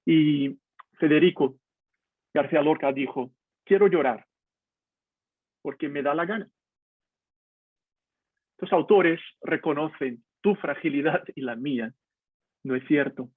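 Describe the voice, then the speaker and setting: A man's voice: a little high, measured pace, pauses often, slightly rough, dry recording. A man from Brazil, a pastor, speaking candidly and directly to his listeners in a personal devotional talk. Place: home, video call. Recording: tinny phone-like online call audio.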